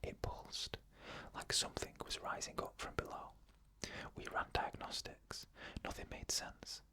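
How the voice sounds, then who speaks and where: An ASMR storyteller reading eerie first-person stories in a fully unvoiced whisper, slow and measured, with drawn-out pauses.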